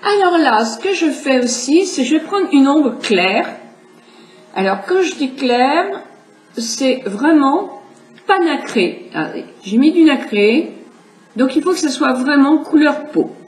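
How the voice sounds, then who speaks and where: A woman's voice: sits high, lively intonation, measured pace, soft background music. A middle-aged woman with a slight cold, chatting to viewers as she demonstrates her makeup step by step. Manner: chatty, explanatory, addresses viewers directly. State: has a cold. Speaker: makeup tutorial host. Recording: echoey room with faint background hiss.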